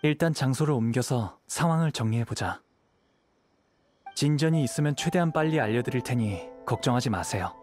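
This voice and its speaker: A young wandering samurai from a video game, played by a man. His mid-pitched voice is composed and measured, reasoning carefully. Music plays clearly behind him. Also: expressive, a little mellow, natural voice. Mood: calm, reflective. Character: calm, thoughtful young wandering samurai investigator.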